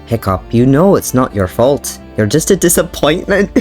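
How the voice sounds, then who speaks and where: A man's voice: mid-range voice, very animated, normal speed, darkish tone, soft background music, voice pushed up, close to an everyday voice. A man reading the lines of a blunt, tactless middle-aged Viking blacksmith in an amateur reading of a fan story, using a slightly raised version of his own voice. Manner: blunt, tactless advice given matter-of-factly.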